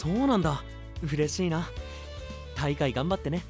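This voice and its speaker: A man playing a friendly but shrewd, composed young knight in an anime voice drama, speaking softly and politely. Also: fairly high pitch, expressive, normal speed, over music. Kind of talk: Japanese anime voice drama acting.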